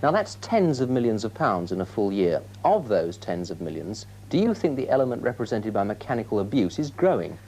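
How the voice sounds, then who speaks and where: A man's voice: lowish voice, very animated, a little fast, few pauses, darkish tone, slight background noise, low-quality recording. A British man presenting a training film, narrating scripted lines and putting articulate questions as an interviewer.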